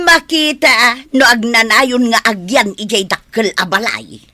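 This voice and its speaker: A woman's voice: fairly high pitch, lively intonation, takes it slowly, sharp tone, no echo, full character voice. A woman acting as a firm, questioning lady of the house in a radio drama. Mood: probing.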